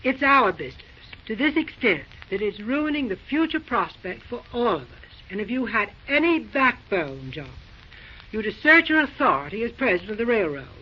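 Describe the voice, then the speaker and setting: A woman's voice: on the high side, sing-song, moderate pace. A woman playing a snobbish, domineering middle-aged wife and mother in an old-time radio drama, with a haughty, scolding delivery. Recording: dull, noisy old radio recording.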